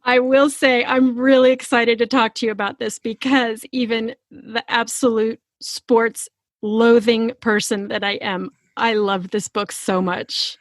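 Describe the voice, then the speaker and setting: A woman hosting a book podcast, talking in an expressive, emphatic way with drawn-out words. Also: fairly high pitch, dry recording. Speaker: podcast host and interviewer. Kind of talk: podcast intro and book chat.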